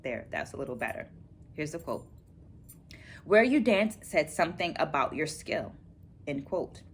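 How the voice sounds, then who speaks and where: A middle-aged woman presenting to viewers as a dance educator, in a measured, careful, teacherly way. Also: low voice, sing-song. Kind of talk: book-review vlog, presenting takeaways to viewers. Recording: clear background noise, room ambience.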